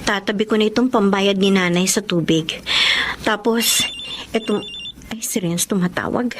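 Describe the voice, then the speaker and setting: A woman playing a hardworking, feisty daughter in her twenties in a radio drama, animated and sassy, with a Filipino accent. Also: medium pitch, normal speed, music in the background, lightly acted. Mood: brisk, practical. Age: 20s. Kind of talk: expressive radio drama acting.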